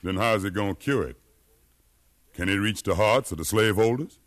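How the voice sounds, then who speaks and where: Actor as a fervent, deep-voiced freed-slave rebel leader. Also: male voice, moderate pace, natural voice. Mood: solemn, intense. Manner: fervent, persuasive, preaching cadence. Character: determined freed slave man, educated, fiery rebel leader. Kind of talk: 1950s radio drama acting.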